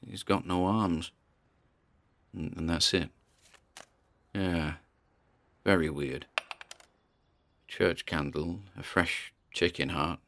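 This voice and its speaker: An actor as a low, gravelly paranormal investigator. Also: male voice, steady tone, slow, pauses often, fairly bright tone, slight background noise, full character voice. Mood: puzzled, matter-of-fact. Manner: hesitant, muttering observations with sighs and trailing asides. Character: uneasy male paranormal investigator narrating his exploration on tape. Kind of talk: audio drama found-recording monologue.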